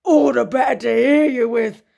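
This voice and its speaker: A woman voicing a sly big male wolf in a storybook, drawling and booming. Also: normal speed, creaky, mellow.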